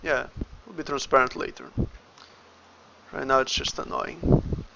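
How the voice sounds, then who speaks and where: A man's voice: medium pitch, steady tone, a little slow, gravelly, muffled recording, background noise. A Brazilian man with a Brazilian Portuguese accent, a game developer casually talking through his work on a livestream and muttering each step to himself. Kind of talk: casual livestream, thinking aloud while working.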